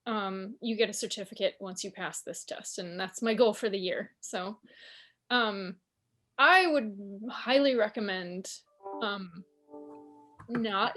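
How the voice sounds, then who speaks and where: A woman's voice: medium pitch, slow pace, a bit bright, soft background music. A woman who sings opera, speaking on a panel and giving earnest, explanatory advice. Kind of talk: casual panel discussion. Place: living in Germany.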